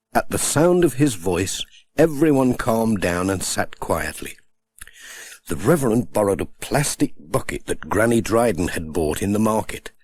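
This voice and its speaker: Low-voiced, lively male storyteller. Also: slightly rough. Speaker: audiobook narrator. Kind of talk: children's story narration.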